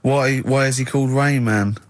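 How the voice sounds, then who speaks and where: Guy hosting radio banter, needling his mates, average pitched voice. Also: steady tone, normal speed. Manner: teasing, needling. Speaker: radio comedy show host. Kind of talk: casual comedic banter.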